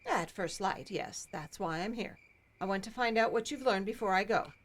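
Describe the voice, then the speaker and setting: A woman's voice: medium pitch, normal speed, lightly acted. An actress playing a well-off small-town woman who is prim and guarded, speaking in a formal, clipped, evasive way.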